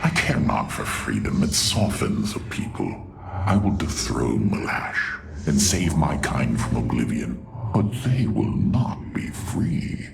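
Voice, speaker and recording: An extremely low, creaky alien tyrant for a video game, haughty and cruel. He drawls slowly with sardonic, theatrical contempt, his pitch sweeping about, over clearly audible noise. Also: sing-song, full character voice. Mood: cold, domineering. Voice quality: very deep, gravelly. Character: haughty, cruel, sardonic alien warlord of a proud warrior race. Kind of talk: video game cutscene acting.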